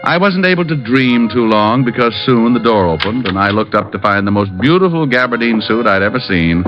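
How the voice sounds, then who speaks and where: An actor playing a glib, sardonic, cocky private eye in 1940s radio acting style. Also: male voice, mid-range voice, expressive, brisk, over music, lightly acted. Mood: wry narration. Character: wisecracking, flirtatious, cocky male private eye. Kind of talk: 1940s radio acting with first-person narration. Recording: muffled vintage radio recording.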